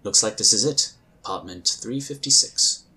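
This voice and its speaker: A man playing a composed, dutiful psychic agent in a sci-fi audio drama, speaking in a formal, earnest, measured way. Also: lowish voice, pushed and bright. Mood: musing.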